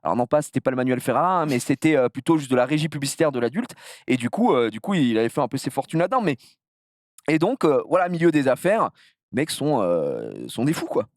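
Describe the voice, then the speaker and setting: French guy from the south, streamer being interviewed, talks fast, super animated and slangy, dry close sound. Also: mid-range voice, dry recording. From south of France. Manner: animated, slangy, crude swearing. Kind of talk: casual chat, telling anecdotes.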